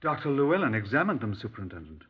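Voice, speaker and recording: An actor playing an earnest, deferential police sergeant with a Welsh accent, solemn and respectful, in 1950s radio drama style. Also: male voice, mid-range voice, sing-song, very fast, slightly rough. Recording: dull old radio recording.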